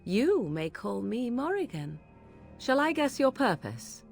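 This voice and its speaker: A woman's voice: fairly high pitch, very animated, a little slow, creaky, faint music behind, exaggerated voice. An actress voicing a haughty young witch from the wilds, who speaks in a mocking, formal, old-fashioned way. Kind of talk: game voice acting.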